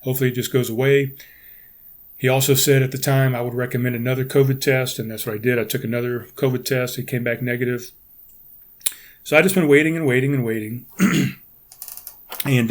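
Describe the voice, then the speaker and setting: Hoarse, congested man rambling. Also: medium pitch. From United States. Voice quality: raspy. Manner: rambling, frequent restarts and throat clearing. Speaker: podcast host. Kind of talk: casual solo podcast talk.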